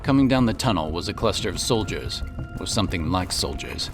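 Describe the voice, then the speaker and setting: A male narrator with a deep voice tells a fantasy story in scripted, storybook prose. Music runs clearly beneath his narration. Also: lively intonation, fairly quick.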